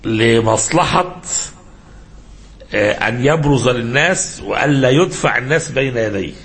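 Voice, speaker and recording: An Egyptian-accented man giving an informal religious lesson as a sheikh, in a halting, didactic manner that prompts listeners with questions, mixing classical and colloquial speech. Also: medium pitch, animated, a bit bright.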